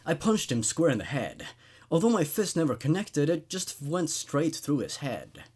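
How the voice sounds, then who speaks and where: A man hosting a horror story reading, narrating in an expressive, conversational, laid-back way. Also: a little high, normal speed, breathy edge. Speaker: host reading horror stories aloud.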